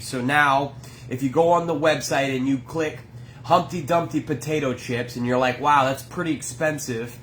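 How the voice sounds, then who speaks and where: Brief: man, stuffy nose, easygoing business owner chatting on a live stream, voice sits a little high, faint hiss behind. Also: fairly bright tone. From Maine. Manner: chatty, greets viewers by name. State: congested. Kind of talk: casual live-stream chat. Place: workplace, indoors.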